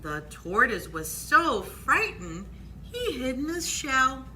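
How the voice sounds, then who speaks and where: Female storytime reader, slow and expressive, very bright tone, for children's picture books. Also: high-pitched, slight background noise. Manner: expressive, pauses to point out pictures. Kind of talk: children's picture book read-aloud.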